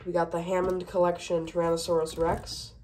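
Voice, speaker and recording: A woman's voice: on the low side, level tone, fairly quick, darkish tone, slight background noise. A woman with a stuffed-up cold casually reviewing a toy, rambling with many fillers, recorded at a tabletop on a camera mic. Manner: rambling, many fillers, talking while handling toys.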